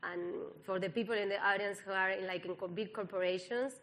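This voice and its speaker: A woman with a Latin American Spanish accent speaks on a panel in an earnest, slightly hesitant way. Her pitch sits in the middle range for a woman. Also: steady tone, quick pace, fairly bright tone. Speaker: panelist, tech entrepreneur. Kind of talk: live panel discussion.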